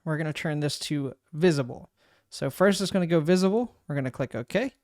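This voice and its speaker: A man casually walking through a streaming software setup, thinking aloud and narrating each click as he goes. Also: a little high, brisk, dry recording, clean studio sound. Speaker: streamer giving a software tutorial. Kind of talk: casual screen-recorded walkthrough. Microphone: desk microphone.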